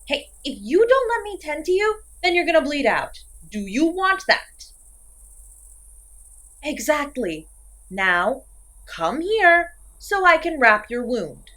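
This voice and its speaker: A woman's voice: high voice, sing-song, takes it slowly, sharp tone, heavily acted. A woman playing a theatrical, sarcastic masked slasher killer who scolds her victim in a horror-comedy audio roleplay. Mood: grudging care.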